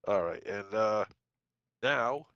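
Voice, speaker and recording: A man's voice: lowish voice, lively intonation, a bit bright, clean tone, dry recording, highs cut off. Congested man reading horror trivia. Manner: lists dates and titles with quick asides. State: congested from a cold. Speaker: podcast co-host. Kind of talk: casual podcast segment reading trivia.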